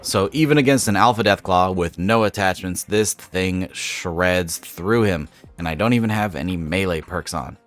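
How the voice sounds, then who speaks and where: A man's voice: low-pitched, moderate pace, few pauses, fairly bright tone, faint music behind. A young man with a cold and a slightly stuffy voice, hosting a gaming channel and walking through a game mod step by step in an upbeat, casual voice-over.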